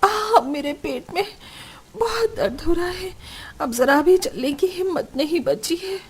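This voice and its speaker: A woman's voice: very high voice, expressive, unhurried. A woman voicing a poor, weary, lamenting family woman in a melodramatic Hindi cartoon story. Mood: pained. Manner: plaintive, melodramatic.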